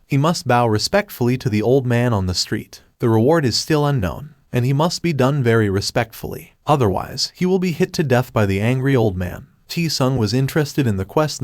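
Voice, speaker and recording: A man's voice: medium pitch, dry recording, clean studio sound. A man reading a scripted story recap as a narrator, voicing quoted lines in his own narrating voice.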